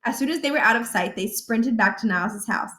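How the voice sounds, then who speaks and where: Bright woman reading a children's book. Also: fairly high pitch, animated, a little fast. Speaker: read-aloud narrator. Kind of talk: expressive children's book narration.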